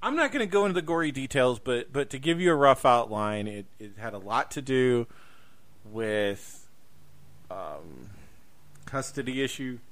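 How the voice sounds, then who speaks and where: A man's voice: medium pitch, lively intonation, a little slow, a bit bright. A man hosting a casual podcast conversation, speaking emphatically and repeating himself to drive points home. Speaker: podcast host.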